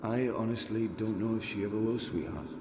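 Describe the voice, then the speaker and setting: An actor playing a tender, earnest, protective young father in a video game cutscene, with a gentle, reassuring manner. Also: lowish voice, brisk, roomy sound, very noisy, lightly acted. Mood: reassuring, subdued.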